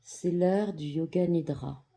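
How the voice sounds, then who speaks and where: A yoga nidra guide with an androgynous voice leads a guided meditation in a calm, soothing, measured manner with long pauses. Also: mid-range voice. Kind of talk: guided meditation, soft instructional narration.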